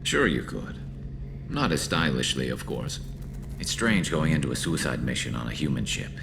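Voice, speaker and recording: A man playing a loyal, battle-weary male alien soldier in a video game, with a dry, wry, measured delivery. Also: extremely low pitch, animated, creaky, over music, heavily acted. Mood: wry, relaxed.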